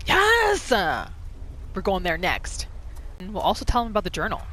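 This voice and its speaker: A woman hosting a gaming video with casual, excitable commentary, exclaiming and narrating what her character thinks. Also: mid-range voice, normal speed, slight background noise.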